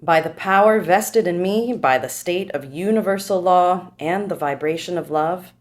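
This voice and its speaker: A formal wedding officiant, read by a woman at a table read, who delivers the ceremony in a measured, solemn way. Her voice sits a little low for a woman. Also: lightly acted.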